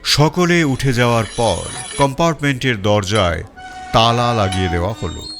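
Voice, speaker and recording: A man narrating a detective story in the first person, in an expressive, dramatic reading style. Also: mid-range voice, moderate pace. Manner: expressive, rising and falling. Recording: faint music bed.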